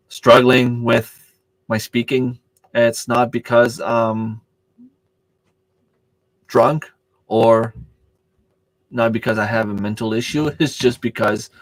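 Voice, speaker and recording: A man's voice: medium pitch, little pitch movement, slow, long pauses, no echo, low-quality recording. Hesitant French-native man chatting on a dry live stream. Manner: hesitant, searching for words with many fillers and restarts; answers viewer chat comments as they come. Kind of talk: casual live stream chat.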